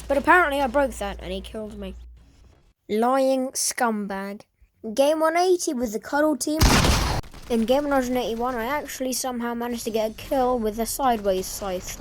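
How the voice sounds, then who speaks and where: A woman's voice: on the high side, slight background noise. A woman with a sore, congested throat, giving dry, self-deprecating voice-over commentary on her gameplay clips. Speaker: gaming video creator.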